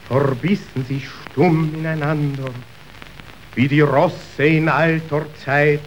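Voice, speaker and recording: Actor as a slow, theatrical male messenger of doom. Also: medium pitch, a little hiss or hum, close to an everyday voice, rough recording. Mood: grave, despairing. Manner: theatrical, dramatic, swinging from grave to frenzied. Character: impassioned male messenger reporting a stock market collapse. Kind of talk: declamatory verse narration in German radio drama.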